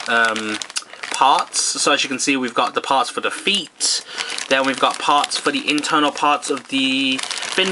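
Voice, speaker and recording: A man's voice: medium pitch, noisy background. Man unboxing a kit over crinkling plastic. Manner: relaxed, hesitant, many ums and self-corrections. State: slightly losing his voice. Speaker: model kit channel host. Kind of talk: casual unboxing narration. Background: plastic bags crinkling and rustling.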